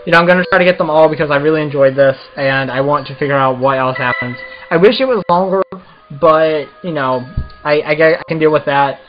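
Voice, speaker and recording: A man's voice: a little high, highs cut off. A man doing a casual let's play, reading visual novel text aloud and commenting as the host of a gaming video.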